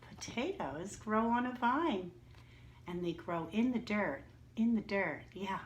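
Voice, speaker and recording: A woman teaching young children in a slow, sing-song way, asking questions and answering them herself. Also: mid-range voice, expressive, a little hiss or hum. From New York, United States. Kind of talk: read-aloud lesson for young children.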